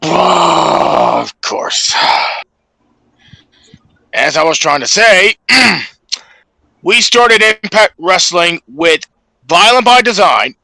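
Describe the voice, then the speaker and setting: A man with a sharp, forceful, fairly high voice recaps matches on a podcast. His delivery is slow and halting, and the sound is close and dry. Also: huge pitch swings, gravelly, dry recording, low-quality recording. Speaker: podcast co-host. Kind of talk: casual wrestling match recap.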